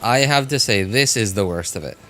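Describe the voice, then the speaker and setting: Male streamer, lowish pitch, casual halting gameplay chatter with self-directed muttering, soft music underneath. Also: animated. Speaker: game livestreamer. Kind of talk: casual live gameplay commentary, chatting with viewers.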